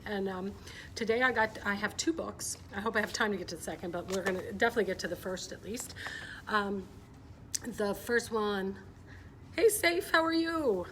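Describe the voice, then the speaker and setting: Middle-aged female story-time host, mid-pitched, informal and chatty with kids, noisy live-stream sound. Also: fairly bright tone. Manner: chatty, informal, often checking in with young listeners. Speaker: coach hosting a children's story time. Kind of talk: casual livestream chat and picture-book read-aloud. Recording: clear background noise, live stream.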